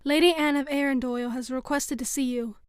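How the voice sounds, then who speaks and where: A woman acts as a formal royal servant in an audio drama. Her voice is high, smooth and clear, with a dry, close sound. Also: steady tone, fairly quick, dry recording, natural voice. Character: formal female royal servant announcing visitors.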